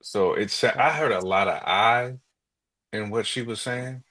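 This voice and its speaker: Guy w a lowish voice cohosting a podcast, casual slangy chat, kinda halting. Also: pushed and bright. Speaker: podcast co-host.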